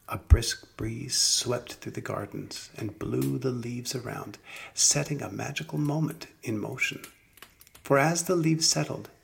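A man reading a children's story as narrator, in an expressive, deliberate storytelling manner. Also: medium pitch, vocal fry. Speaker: storybook reader.

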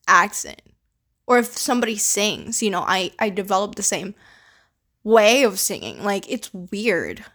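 A woman's voice: medium pitch, fairly bright tone. A young woman from the Philippines who speaks with a Canadian accent, talking alone in a casual podcast monologue with lots of "like" and "you know" fillers and offhand swearing. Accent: Canadian English. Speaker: podcast host.

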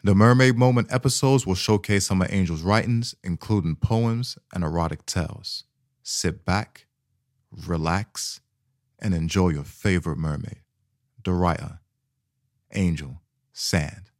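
Deep-voiced man introducing a podcast. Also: a little slow, a little mellow, dry recording, clean studio sound. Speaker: announcer introducing the show.

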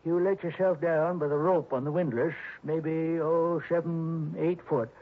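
A man's voice: on the high side, fairly even intonation, unhurried. An actor playing a scared, shifty old country man in a radio drama, with folksy, ungrammatical rural talk. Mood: uneasy, confiding. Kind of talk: 1960s radio drama acting. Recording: dull old radio recording.

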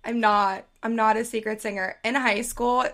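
A young woman with a slightly raspy voice co-hosts a casual book podcast. Her tone is bright and fairly high, and she keeps the chat playful and giggly. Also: fairly even intonation, moderate pace. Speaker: podcast co-host.

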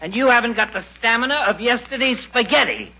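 An actor playing an exasperated, sardonic family doctor with pompous wit in a 1940s radio comedy. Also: male voice, extremely high pitch, animated, fairly quick. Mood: irritated, sarcastic. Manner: ornate mock-threatening insults, sardonic. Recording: muffled, noisy 1940s radio recording.

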